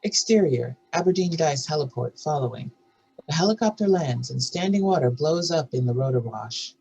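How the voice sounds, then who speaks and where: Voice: medium pitch, animated, normal speed, vocal fry, a little mellow, slightly rough, dull audio. A narrator reading out stage directions and scene headings in a scripted table read.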